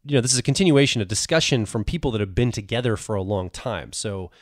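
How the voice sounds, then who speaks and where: Low-voiced man hosting a podcast. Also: quick pace, no room sound, studio quality. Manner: relaxed, explanatory, tired after a long week. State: tired. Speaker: podcast co-host. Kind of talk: casual podcast chat.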